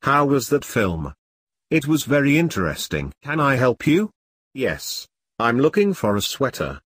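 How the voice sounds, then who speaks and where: A man slowly reading short English practice questions and answers aloud, each one twice, in a measured, deliberate way. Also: mid-range voice, huge pitch swings, a bit bright, dry recording, clean studio sound. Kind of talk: slow scripted drill reading.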